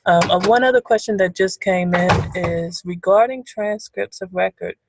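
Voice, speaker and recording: A woman moderating a webinar over a video call, reading out questions in a friendly, relaxed way with frequent fillers. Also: mid-range voice, moderate pace, vocal fry, noisy background.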